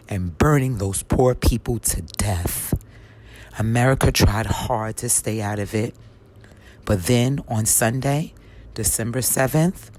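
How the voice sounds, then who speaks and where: A woman reads as a folksy, plainspoken grandmother telling history from a storybook. Her delivery is very slow and measured, like someone passing a story down in the first person. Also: rumbling low, darkish tone, full character voice. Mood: grave. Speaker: reader of a children's history book. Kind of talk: scripted storybook read-aloud in first person.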